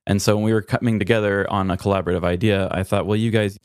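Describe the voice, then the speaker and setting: Man, American accent, low clear voice, dry close sound, casual joking podcast host. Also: steady tone, fast talker, darkish tone, dry recording. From Midwestern United States.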